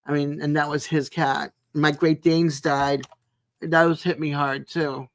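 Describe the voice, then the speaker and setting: A woman in her sixties chatting casually as a live stream host, in a rambling, chatty way with frequent pauses. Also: low-pitched, flat delivery. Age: 60s. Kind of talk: casual chat with live viewers.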